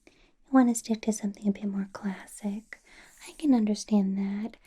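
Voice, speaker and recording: A woman voicing a possessive, teasing female vampire boss in an ASMR roleplay, whispering softly in a playful, controlling way. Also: mid-range voice, steady tone, normal speed, slightly rough. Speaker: ASMR artist.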